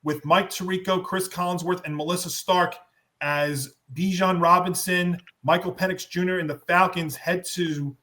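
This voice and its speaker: A man with a hoarse voice hosting a football show, reading the schedule and debating picks. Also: sits high, fairly even intonation, moderate pace, pushed and bright.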